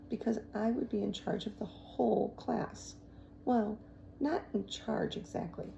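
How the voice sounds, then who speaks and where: Nervous young schoolgirl for a storybook, played by a woman: sincere, halting, slow-paced, crackly. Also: medium pitch, vocal fry, mellow. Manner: hesitant, earnest. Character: earnest, nervous schoolgirl speaking honestly to class.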